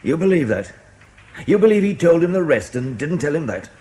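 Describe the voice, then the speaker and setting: A man playing a dogged, controlled intelligence officer in a radio drama, questioning in a measured, pointed way. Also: a little high, sing-song, warm tone, slightly rough. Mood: brisk, probing.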